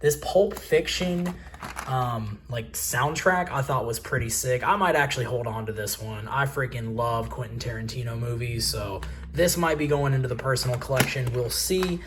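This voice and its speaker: A man's voice: medium pitch, moderate pace, background noise. An American man hosting a video in a casual, slangy vlog style, chatting informally to his viewers. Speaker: host of a video channel about reselling collectibles.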